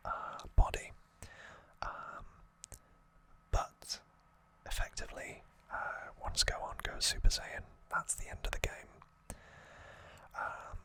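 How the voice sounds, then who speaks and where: Whispered, bright ASMR host. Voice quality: breathy, unvoiced whisper. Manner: hesitant, halting phrasing with self-corrections. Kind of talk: whispered ASMR, rambling episode recap. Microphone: close ASMR microphone.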